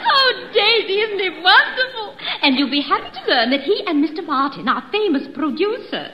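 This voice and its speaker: Gushing society matron, affected and very high-voiced; roomy old radio comedy sound. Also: female voice, big pitch swings, normal speed, heavy background noise. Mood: eager, scheming. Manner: effusive, fluttery, affected politeness. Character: gushing wealthy society matron mother, pushing her daughter. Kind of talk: 1940s-50s radio comedy acting. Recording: roomy, dull old radio recording, audience.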